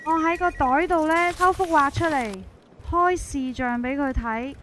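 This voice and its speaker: A woman with a Hong Kong Cantonese accent telling a scripted first-person story in a colloquial, expressive way, acting out the dialogue. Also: high-pitched, measured pace. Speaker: narrator and main character of a horror story.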